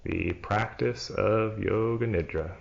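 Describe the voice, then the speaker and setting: A man leading a guided yoga nidra relaxation as a teacher, in a hushed, soothing manner with long pauses between short phrases. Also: fairly low pitch, steady tone, vocal fry. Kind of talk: slow guided relaxation meditation. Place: church room, live class. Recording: dull, muffled live recording.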